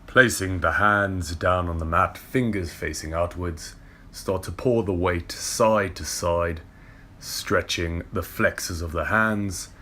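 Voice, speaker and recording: Low, gravelly young man instructor. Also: a little hiss or hum. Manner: calm, steady cueing of breath and movement. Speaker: yoga and movement instructor. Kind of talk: guided workout instruction. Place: indoor car park with brick wall. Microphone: far camera.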